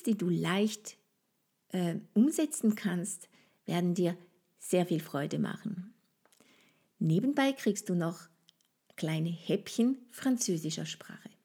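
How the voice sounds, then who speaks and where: A woman with a French accent, a native French speaker, hosting a solo podcast in a gentle, inviting way and speaking straight to the listener. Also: medium pitch, breathy edge. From France. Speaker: podcast host and French language trainer.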